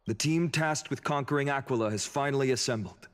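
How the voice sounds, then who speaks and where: An actor voicing a calm, learned young man in a game cutscene, explaining history in a measured way. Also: mid-range voice, natural voice. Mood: welcoming. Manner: measured, explanatory, scholarly delivery. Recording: long reverb.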